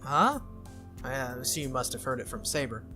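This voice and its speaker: A game reader voicing a brisk, teasing, confident teenage anime schoolgirl with a breezy, needling manner. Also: mid-range voice, expressive, sharp tone, faint music behind. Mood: breezy, questioning.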